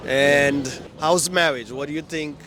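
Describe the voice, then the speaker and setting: A man's voice: fairly high pitch, fairly even intonation, measured pace, a crowd in the background. Upbeat male street interviewer. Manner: repeats answers back, affirming. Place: outdoors on a city street.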